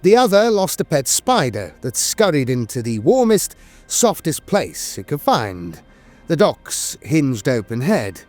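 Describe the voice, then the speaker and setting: A man's voice: a little high, very animated, unhurried, a little mellow, faint music behind. A man reading scripted narration like a dramatic storyteller, with a wry, theatrical delivery. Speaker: lore narrator.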